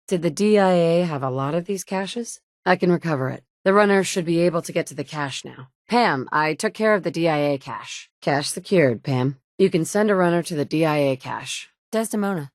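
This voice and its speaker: A woman's voice: fairly low pitch, no room sound. An actress voicing a blunt, sarcastic woman in a game, a protective mother with a dry, no-nonsense manner. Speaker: player character. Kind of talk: video game dialogue.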